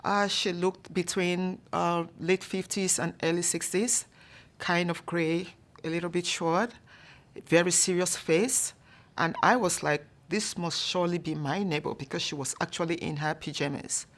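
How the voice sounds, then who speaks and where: A woman from Cameroon telling a personal story in a calm, measured way, often saying "you know". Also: lowish voice. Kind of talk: storytelling to an audience.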